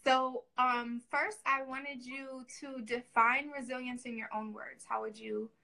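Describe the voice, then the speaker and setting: A woman's voice: high voice, flat delivery, unhurried, bright, forceful tone, rough recording. A woman hosting a casual livestream interview. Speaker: host.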